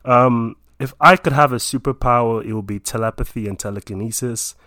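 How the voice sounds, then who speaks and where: A man's voice: mid-range voice, brisk, no room sound, clean studio sound. A young man from Nigeria in his twenties, chatting casually about himself with lots of fillers. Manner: relaxed, rambling with many fillers.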